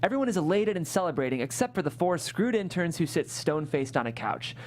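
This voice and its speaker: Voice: mid-range voice, sing-song, fast, darkish tone. A narrator reading scripted stage directions aloud at a table read.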